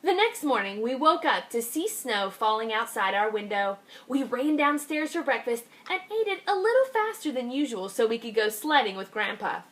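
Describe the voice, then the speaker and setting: Woman reading a storybook aloud. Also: a little high. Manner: expressive, slows down on key phrases. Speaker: kindergarten teacher. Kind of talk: reading a picture book aloud.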